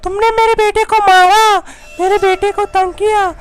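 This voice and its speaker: A woman's voice: very high voice, flat delivery, moderate pace, faint music behind. A woman playing a threatening witch posing as a vengeful mother in a Hindi cartoon story.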